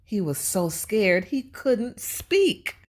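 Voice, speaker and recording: Slow, animated woman reading to children. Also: mid-range voice. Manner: expressive, addressing children directly with questions and praise. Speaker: children's read-aloud host and teacher. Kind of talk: storybook read-aloud and simple lesson for young children.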